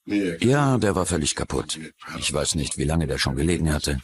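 Evasive suspect, deep voice. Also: male voice, animated, moderate pace. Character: male murder suspect, evasive, plain-spoken. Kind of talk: documentary dubbing of an interrogation.

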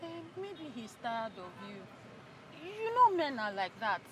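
A woman's voice: on the high side, sing-song, unhurried, slight background noise. A woman playing a cautioning friend in a film drama. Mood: cautioning, urgent. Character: animated, cautioning friend of a wronged wife.

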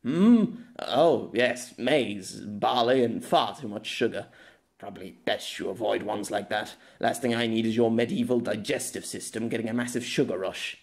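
A man voicing a weary, grumpy gentleman with a dry, curt, exasperated manner. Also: lowish voice, moderate pace, close to an everyday voice. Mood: dry exasperation. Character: grumpy, weary well-off older man from fantasy world.

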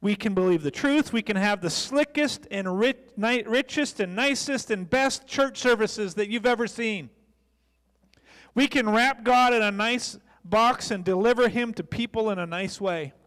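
A man's voice: high voice, a little slow, fairly bright tone. A middle-aged American man preaching a sermon as a pastor, speaking into a handheld microphone on a church stage. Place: church stage, large indoor room. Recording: long echoey hall reverb.

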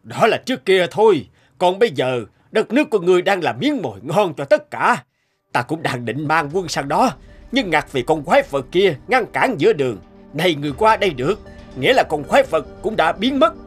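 A man's voice: on the high side, big pitch swings, brisk, breathy edge, soft background music. A man voicing an arrogant, scheming king in a fairy-tale cartoon, scornful and pompous. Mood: scornful, gloating.

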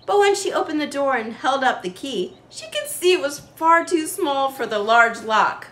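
A young woman reads a storybook to children. Her voice is high and very lively in pitch, with expressive emphasis on the words as she narrates. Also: normal speed, reverberant. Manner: animated, sing-song emphasis. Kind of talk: expressive read-aloud storytelling for kids.

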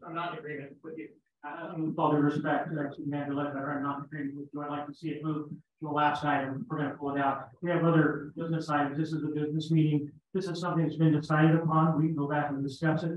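A man speaking as a city council member in formal debate, halting but insistent. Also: on the high side, muffled recording. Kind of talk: formal debate at a public meeting. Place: large indoor council chamber, room microphones. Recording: very echoey council room, distant mic, noisy.

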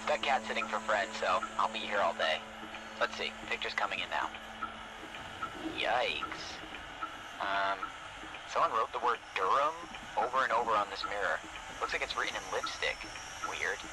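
A man's voice: on the low side, moderate pace, pauses often, heavy background noise, natural voice. An actor playing a friendly, slightly awkward young man helping through a phone app, chatty and casual. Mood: casual, uneasy. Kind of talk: naturalistic game voice acting.

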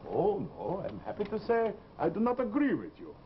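An actor playing a grave, middle-aged sanitarium doctor, formal and hesitant, in 1950s television drama style. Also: male voice, high-pitched, wildly swooping pitch, normal speed. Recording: dull, noisy old TV soundtrack.

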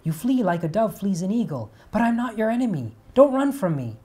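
A storyteller voicing a proud young male god of light who pleads and shouts after the one he loves. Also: medium pitch, unhurried, faint music behind, lightly acted. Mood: pleading.

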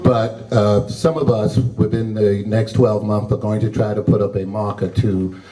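A man's voice: on the low side, fairly even intonation, dark tone. Older guy giving a talk at a podium, handheld mic, sounds a bit distant in a big room. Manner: folksy, unhurried, conversational. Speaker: historian and lecture host. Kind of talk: public talk to a library audience. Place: large library room with an audience.

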